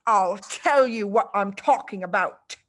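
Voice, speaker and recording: A woman reading a storybook, voicing a furious, pompous headmistress who barks and booms out drawn-out insults. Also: a bit bright, lightly acted. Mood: furious accusation. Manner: barking, booming, drawn-out insults. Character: monstrous bellowing tyrant headmistress, furious and pompous.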